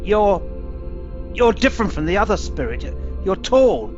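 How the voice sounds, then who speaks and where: A man playing a frightened, pleading old miser in a radio play, speaking haltingly. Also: high voice, big pitch swings. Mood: awed, humbled. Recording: echoey, muffled, music behind.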